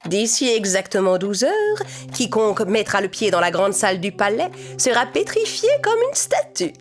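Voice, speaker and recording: A woman's voice: mid-range voice, very animated, normal speed, faint music behind, close to an everyday voice. A woman voicing a wicked, scheming stepmother who bosses others around impatiently in a cartoon fairy tale. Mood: gloating. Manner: commanding, impatient.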